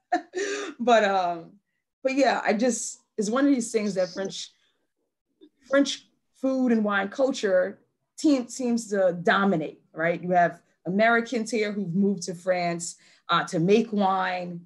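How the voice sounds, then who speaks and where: A woman in her thirties hosting a virtual wine tasting over a video call, chatty and quick to laugh. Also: fairly low pitch. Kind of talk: casual video call chat. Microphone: laptop video call.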